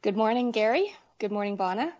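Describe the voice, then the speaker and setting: A woman's voice: a little high, animated, a little fast, a little mellow. Muffled, hesitant woman from Wales and Canada. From Wales and western Canada. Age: 40s. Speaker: needlework artist, interview guest. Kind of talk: casual chat.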